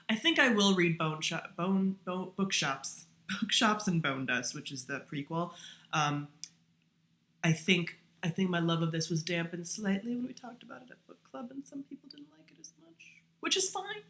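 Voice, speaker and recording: A woman with a voice a little on the low side talks casually to camera as a book reviewer. Her delivery is informal and slangy, like chatting with friends. She drops in self-deprecating asides as she goes. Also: fairly bright tone.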